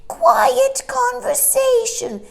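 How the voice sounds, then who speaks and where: Lady doing a shrill bossy bird, high squawky voice, all chatter and fuss. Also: steady tone, drawn-out words, vocal fry, heavily acted. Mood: shrill, pompous. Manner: pompous and wheedling. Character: shrill chattering cocky male starling.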